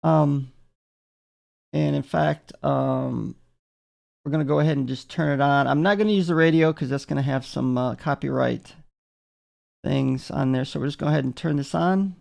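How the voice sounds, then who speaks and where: A man giving a casual, unscripted product demonstration in a gravelly voice, halting often with filler pauses. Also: mid-range voice, a little mellow. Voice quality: gravelly, creaky. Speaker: product reviewer.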